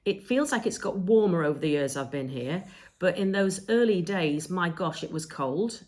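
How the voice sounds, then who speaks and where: English woman in her fifties, co-hosting a podcast, low-pitched, easygoing storyteller. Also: animated, normal speed, darkish tone. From England. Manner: chatty storytelling with frequent ums. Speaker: podcast co-host. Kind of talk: casual conversational podcast.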